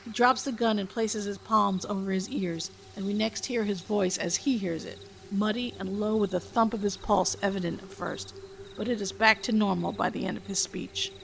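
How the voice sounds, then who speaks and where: Woman, script read-aloud, steady narration, gravelly voice, faint hiss. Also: medium pitch, normal speed, poor audio. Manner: reads stage directions steadily. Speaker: podcast host reading her own script.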